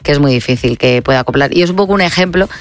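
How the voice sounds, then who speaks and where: A woman's voice: low voice, animated, racing. A woman with a Spanish accent from Spain, hosting a late-night radio show in a casual, conversational style, speaking straight to listeners. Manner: conversational, addresses listeners directly. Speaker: radio host.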